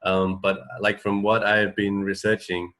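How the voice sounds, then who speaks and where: Low-voiced man hosting a casual radio chat. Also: completely flat delivery, unhurried, fairly bright tone. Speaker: radio show host. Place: at home.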